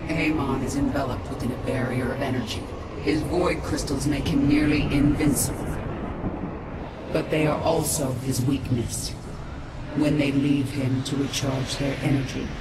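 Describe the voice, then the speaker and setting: An actress playing an ascended godlike alien woman in a video game, solemn, resolute and measured, with an otherworldly effect on the voice. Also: extremely low pitch, flat delivery, very noisy. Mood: commanding. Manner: measured, grave, portentous. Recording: echoing, distant, loud music behind.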